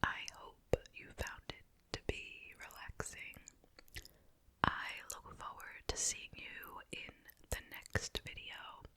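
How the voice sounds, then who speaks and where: A woman whispering softly and breathily in a relaxed, rambling, conversational ASMR style, as the host of an eating video. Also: slow pace. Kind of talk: whispered ASMR chit-chat, eating show. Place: at home in a residential house.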